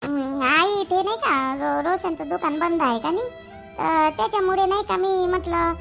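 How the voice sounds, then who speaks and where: A woman's voice: extremely high pitch, sing-song, moderate pace, over music. A woman voicing a timid, resentful young daughter-in-law in a family cartoon, muttering hesitantly in a Varhadi Marathi accent. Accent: Varhadi Marathi (Vidarbha). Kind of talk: animated family drama acting.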